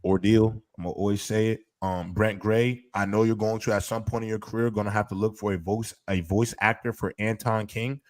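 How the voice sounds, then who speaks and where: A man's voice: on the low side, flat delivery, brisk, few pauses, no echo. A man co-hosting a podcast, talking casually and emphatically with slang and lots of "um". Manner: emphatic, slangy, filler-heavy. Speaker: podcast co-host. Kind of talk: casual chat.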